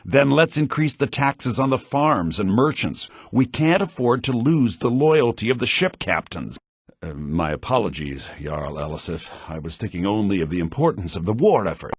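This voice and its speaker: An actor playing a haughty, grumbling fantasy townsman in a video game, theatrical and sardonic, in low-bitrate audio. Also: very animated, normal speed, husky, no room sound, exaggerated voice. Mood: restrained, formal. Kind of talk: video game character voice lines.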